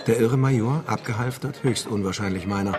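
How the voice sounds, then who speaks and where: An actor playing an urbane, nosy spymaster in a radio play, wry, theatrical and exclamatory. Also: male voice, on the low side, a little fast, lightly acted. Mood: wry, reminiscing. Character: urbane, chatty, nosy old American spymaster nicknamed Nanny.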